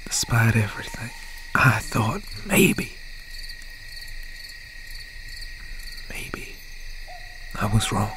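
A man playing an obsessed, menacing country slasher boyfriend in an ASMR roleplay, speaking in a breathy, halting, often whispered voice with folksy phrasing. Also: on the low side, expressive. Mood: wounded, menacing. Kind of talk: ASMR roleplay, slow and intimate. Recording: noisy night ambience with crickets, owl. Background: crickets, insects and an owl.

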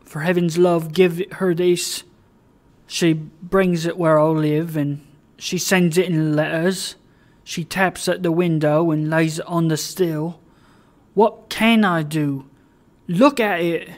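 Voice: mid-range voice, steady tone, close to an everyday voice. Reader playing a dazed, brooding ruined man. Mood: haunted. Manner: slow, brooding, louder than narration. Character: dazed, drowsy dissipated man.

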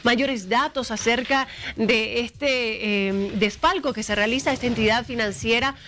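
A woman's voice: fairly high pitch, pushed and bright, a little hiss or hum. A young woman, hosting a morning TV show and asking pointed questions in a studio. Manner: brisk, probing questions. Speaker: TV news host.

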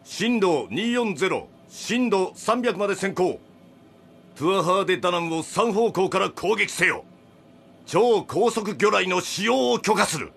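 A man playing a gloating enemy submarine commander in an audio drama, husky, commanding and theatrical. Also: high-pitched, animated, a little slow, fairly bright tone, heavily acted. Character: smug male villain submarine commander. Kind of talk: Japanese audio drama acting.

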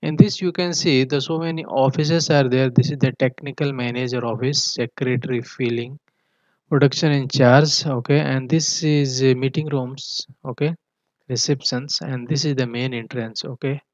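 A man giving a technical tutorial in a halting manner, often punctuating his explanations with a checking "okay". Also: mid-range voice, steady tone, no room sound. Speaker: engineering tutor. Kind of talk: tutorial walkthrough of technical drawings. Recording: dull, muffled lo-fi voice track.

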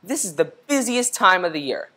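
A man's voice: high-pitched, sing-song, normal speed, pushed and bright, heavily acted. A young man voicing a busy, matter-of-fact beaver in a children's storybook.

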